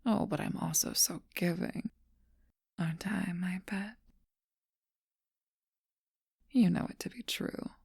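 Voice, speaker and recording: A woman voicing a seductive, ageless, possessive female vampire in an ASMR role-play, speaking in a soft, breathy whisper with slow, intimate teasing. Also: on the low side, dry recording, studio quality, exaggerated voice. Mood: wistful.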